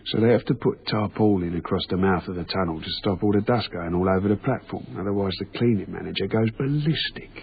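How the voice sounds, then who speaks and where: Chatty british tunnel night worker, lowish voice, radio play, noisy phone-like sound. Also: male voice, fast talker, vocal fry, hardly any pauses. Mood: amused storytelling. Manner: matey storytelling, musing. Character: chatty, anecdote-loving British railway tunnel night worker, uneasily fascinated. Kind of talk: naturalistic radio drama acting.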